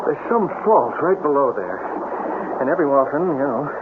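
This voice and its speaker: A man's voice: a little high, lively intonation, normal speed, natural voice. An actor playing a plain-spoken young American man trapped in a cave, in 1970s radio drama style. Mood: explaining. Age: young adult. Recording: noisy, phone-like old radio broadcast.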